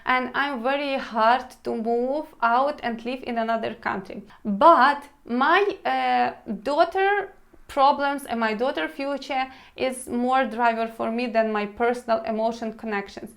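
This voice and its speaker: A woman with a Ukrainian accent, her first language Ukrainian, speaks to her viewers in a fairly high voice. She is in her thirties and talks earnestly and plainly, often calling her audience "guys". Her delivery is direct and personal, in the manner of a vlog host. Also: unhurried, creaky, fairly bright tone. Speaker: channel host.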